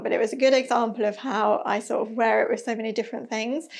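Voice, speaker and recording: Woman, sewing vlogger, fairly high voice, gravelly, a bit hoarse, chatty and self-deprecating. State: slightly hoarse, losing her voice. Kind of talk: casual chatty review.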